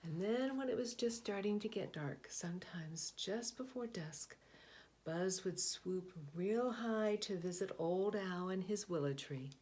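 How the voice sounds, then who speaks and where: Slow expressive woman reading a picture book. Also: medium pitch. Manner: expressive, slow, hushed asides. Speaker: storybook reader. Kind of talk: picture book read-aloud.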